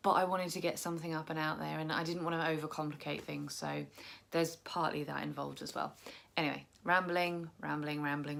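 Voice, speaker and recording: A young woman chatting casually to camera about her tarot decks, tired and croaky, rambling and poking fun at herself. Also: low voice. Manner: chatty, self-deprecating, rambling. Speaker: tarot vlogger.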